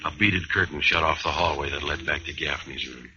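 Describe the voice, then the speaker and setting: Very deep, weary hardboiled American voice, man. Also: brisk. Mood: weary narrating. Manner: deadpan, clipped, tough-talking. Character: world-weary, hardboiled jazzman narrator, male, Pete Kelly. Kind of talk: mid-century hardboiled radio drama acting, terse narration. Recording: muffled, noisy vintage radio recording.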